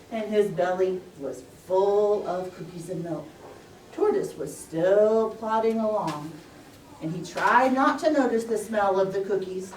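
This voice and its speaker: A woman's voice: mid-range voice, a little mellow. A woman telling a story to young children, expressively and in simple phrases. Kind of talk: live children's story narration. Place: room with a pre-school class. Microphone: distant recording mic. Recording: echoey room, distant mic, faint noise.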